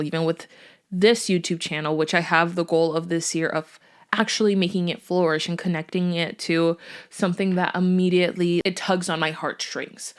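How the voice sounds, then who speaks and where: Chatty young woman, faith podcast host. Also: fairly low pitch. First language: Portuguese. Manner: earnest, chatty, with fillers and small laughs. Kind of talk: faith-based motivational podcast talk.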